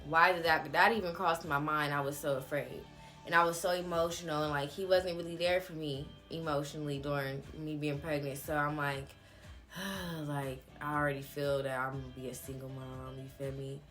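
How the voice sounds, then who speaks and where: Young woman vlogger, bright forceful voice. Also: low voice, moderate pace, faint music behind. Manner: candid, animated retelling with slangy asides. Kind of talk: casual personal storytelling.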